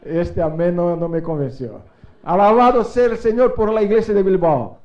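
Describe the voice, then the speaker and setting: Middle-aged guy preaching in church, spanish not his first language, high voice, dull-ish sound. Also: moderate pace. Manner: animated preaching, rising to loud calls for response. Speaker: guest preacher. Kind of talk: sermon.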